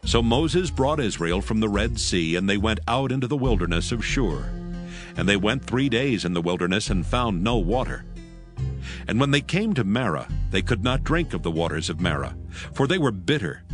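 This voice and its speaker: Deep, expressive male scripture narrator. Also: moderate pace. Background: music.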